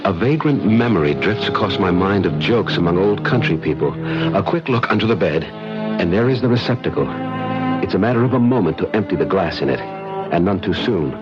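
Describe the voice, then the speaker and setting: Low-voiced American mystery narrator, music behind. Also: male voice, animated, fairly quick. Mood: suspenseful. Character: determined, wary American visitor narrating his mystery. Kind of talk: old-time radio drama acting and first-person narration. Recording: muffled old radio recording, music behind.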